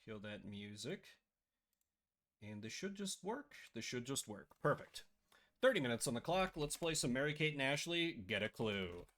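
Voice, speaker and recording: A man hosting a casual live stream, chatty and playfully sarcastic, his voice a little rough and scratchy today. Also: mid-range voice, big pitch swings, moderate pace, fairly bright tone.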